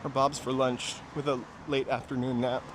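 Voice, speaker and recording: A man's voice: medium pitch, little pitch movement, moderate pace. A man talks in a mid-range voice. He rambles casually, like a tour host chatting to live viewers as he walks. Light urban street noise and vehicles sit behind him outdoors. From New Hampshire, USA. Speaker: walking-tour livestream host. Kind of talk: casual chat while walking. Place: outdoors on city streets, walking. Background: traffic and urban ambience.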